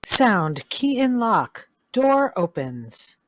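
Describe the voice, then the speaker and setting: A woman reading sound-effect cues aloud in a flat, unhurried way for a live radio drama. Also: a little high, no echo. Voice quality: flat, slow announcing of cues. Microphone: video call.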